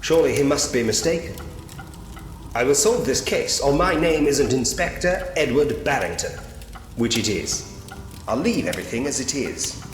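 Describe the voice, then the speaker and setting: A man's voice: medium pitch, expressive, moderate pace, slight background noise, natural voice. A man voicing a polite, drily whimsical Victorian police inspector who muses to himself in an adventure game. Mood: resolute, puzzled, then drily amused. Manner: musing to himself, genteel, drily humorous. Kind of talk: point-and-click adventure game voice acting. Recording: reverberant room with long echo. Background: game music.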